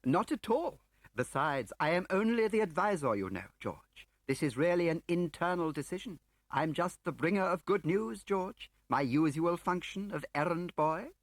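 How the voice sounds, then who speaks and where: A man's voice: a little high, expressive, moderate pace, no room sound, voice pushed up. A man voicing a pompous, ingratiating civil service chief in an audiobook, with a hesitant, upper-class English delivery. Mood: falsely genial. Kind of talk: audiobook character acting.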